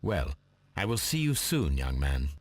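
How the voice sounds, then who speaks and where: English gentleman detective, low voice, lively intonation, formal, coolly deductive, video game dialogue. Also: measured pace, slightly rough, no echo, full character voice. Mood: brisk. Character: brilliant, cool, precise gentleman detective.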